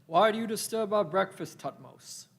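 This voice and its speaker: Man acting a high-pitched commanding Egyptian war leader. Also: lively intonation, normal speed. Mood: annoyed, commanding. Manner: barking, declamatory orders. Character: stern, commanding ancient Egyptian military leader. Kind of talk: amateur radio play performed live.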